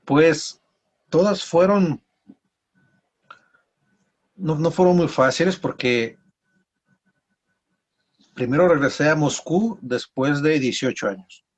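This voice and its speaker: A man from Mexico with a Mexican accent, telling stories casually as an interview guest in a rambling, halting way with repeated words. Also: on the high side. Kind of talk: casual conversational storytelling.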